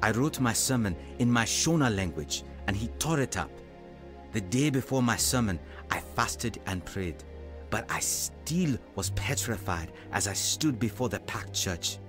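A man's voice: lowish voice, animated, unhurried, over music. An actor playing a humble, self-doubting young African evangelist, narrating his own story in a radio drama. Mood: reflective. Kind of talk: dramatised first-person narration.

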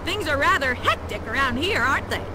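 A woman's voice: high-pitched, lively intonation, fairly quick, noisy background. A woman voicing a chirpy, relentlessly polite robot tour guide in a video game, perky and over-courteous. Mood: cheery. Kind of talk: video game cartoon acting.

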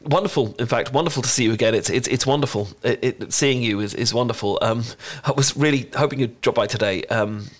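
Casting: male actor as adorkable young British chocolatier, mid-pitched, bashful and smitten, nervously tripping over words in an intimate audio roleplay. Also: natural voice. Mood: flustered, delighted. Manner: nervous, stammering, halting. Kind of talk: ASMR roleplay, one-sided intimate conversation.

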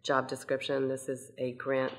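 A woman's voice: a bass voice, completely flat delivery, normal speed, slight background noise. A woman council member steadily reading motions aloud at a meeting, with an occasional "um". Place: council chamber, indoors.

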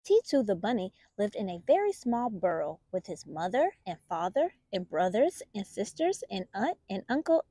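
A woman reading a children's picture book aloud as a storytime host, in an expressive, deliberate storyteller's manner. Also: on the high side, no echo, studio quality.

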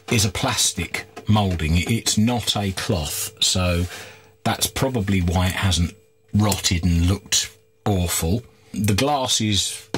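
A man's voice: on the low side, a bit bright. A middle-aged man giving informal, candid hands-on commentary as he presents a repair video. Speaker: host of a radio repair video. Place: small cluttered workshop, indoors.

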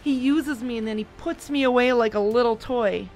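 A woman voicing a captive succubus, pleading and breathy in manner. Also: fairly high pitch, normal speed, darkish tone, soft background music, voice pushed up, natural voice, poor audio.

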